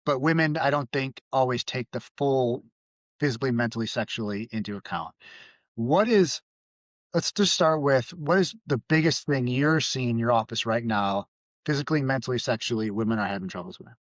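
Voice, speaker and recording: A man's voice: medium pitch, normal speed, dry recording, lo-fi sound. A man hosting a podcast interview, casual and affirming, asking his guest leading questions. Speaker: podcast host.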